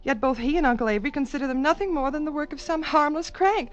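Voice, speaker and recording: Worried, well-bred wife played by a woman, highish voice, earnest and hesitant, dull old radio drama sound with faint music. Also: fast, close to an everyday voice. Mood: worried, urgent. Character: anxious, refined young married woman, detective's client. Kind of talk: 1940s radio drama acting.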